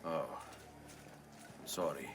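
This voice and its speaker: An actor playing a confident, courteous and wry young Italian assassin in a video game cutscene, with an Italian accent. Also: male voice, rumbling low, very slow, background noise, full character voice. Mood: polite, awkward.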